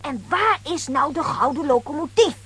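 A woman's voice: sits high, animated, normal speed, a bit bright. A woman playing a pert, sceptical little girl who asks pointed questions in a children's radio play. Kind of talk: children's comic-strip radio play acting.

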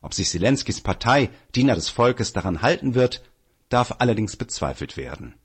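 A man reading a political article aloud as scripted narration, in a measured, formal manner. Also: fairly low pitch, sing-song, husky.

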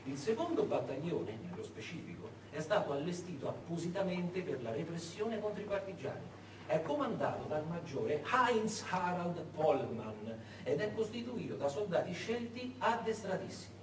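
A man telling history as a dramatic stage monologue, emphatic, with pauses and stretched words. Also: sits high, very animated. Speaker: stage actor and narrator. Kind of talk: theatrical historical storytelling, monologue. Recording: echoey stage, distant, faint noise.

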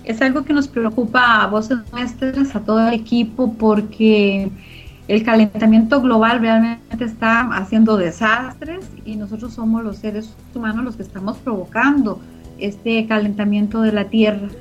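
A woman's voice: a little high, fairly even intonation, moderate pace, soft background music. A woman explaining things as a guest in a radio interview. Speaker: communications center representative, interview guest. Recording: dull, lo-fi radio audio.

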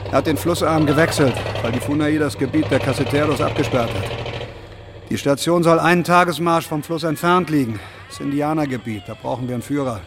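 An actor playing a probing, knowing jungle traveller in a radio drama, talking in a direct, conversational way. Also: male voice, a little high, fairly quick, noisy background, lightly acted. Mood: knowing, brisk.

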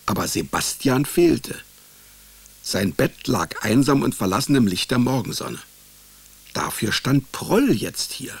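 An actor playing a wry, weary private detective, narrating his own story in a dry, laconic, deadpan way for a radio drama. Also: mid-range voice, measured pace, vocal fry, slightly rough, dry recording, exaggerated voice. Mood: sober, deadpan. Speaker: narrator and lead character. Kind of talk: hard-boiled first-person radio drama narration.

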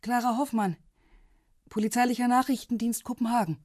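Radio crime drama policewoman, younger, dark soft-edged voice on a phone call, composed and insistent. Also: fairly high pitch, steady tone, a little fast, no room sound, clean studio sound. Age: young adult. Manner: businesslike, persistent, polite. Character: composed, insistent younger female Danish intelligence police officer.

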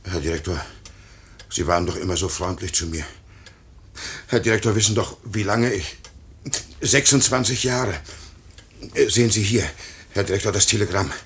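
An actor in a 1950s radio play voicing a broken foreman in his fifties, halting, stammering and repeating himself. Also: deep voice, fairly bright tone, a little hiss or hum. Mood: desperate pleading. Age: 50s. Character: broken, pleading 58-year-old aircraft works foreman.

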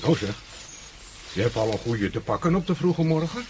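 A man's voice: on the high side, big pitch swings, normal speed, warm tone. A man acting in a Dutch radio play as a simple, good-natured farming neighbour, chatty and folksy, repeating himself hesitantly. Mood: chatty, curious. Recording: radio play with faint background noise.

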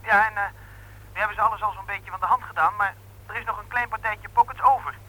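An actor playing a breezy, persuasive young brother-in-law pitching a deal in a 1960s radio drama. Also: male voice, steady tone, fast talker, sharp tone, poor audio. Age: young adult. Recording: old radio play recording.

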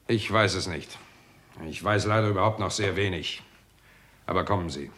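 An actor playing a shrewd, dryly sardonic police inspector in a 1960s German radio crime drama. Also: male voice, sits low, moderate pace, music in the background, natural voice. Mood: businesslike. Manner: dry, musing, sardonic. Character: wry, shrewd male police inspector in Hollywood.

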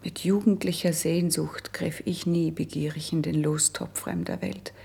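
An actress playing a noble, lovesick young princess in a classical verse radio play, declaiming solemnly and wistfully in a hushed, breathy voice. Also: female voice, sits low, very animated, full character voice. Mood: wistful sorrow. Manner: solemn, wistful, drawn-out poetic phrasing.

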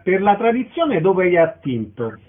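A man's voice: high voice, big pitch swings, a little slow. A man hosting a radio interview, putting questions and reading out event details. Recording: narrow band-limited radio sound.

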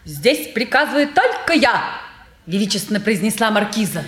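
A woman's voice: mid-range voice, big pitch swings, moderate pace, crowd noise, natural voice. An actress playing a playful, bossy young woman who teases and declaims in mock-grand fashion, in 1950s Soviet stage style. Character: playful young niece of a watchmaker, bossy and theatrical. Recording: 1950s roomy theatre recording.

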